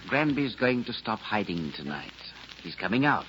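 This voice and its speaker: A coolly menacing gangster's right-hand man, sardonic and measured. The voice is low with animated intonation. It comes from a muffled old radio drama recording. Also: slight background noise. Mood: dry, sarcastic. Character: smooth, sarcastic male gangster henchman, coolly menacing. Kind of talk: 1940s radio crime drama acting.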